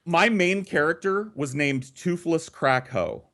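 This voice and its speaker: A man's voice: fairly high pitch, takes it slowly. A man telling a story casually and comedically, swearing freely and acting out both sides of conversations. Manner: profane, animated re-enacting of conversations. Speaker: storyteller and host.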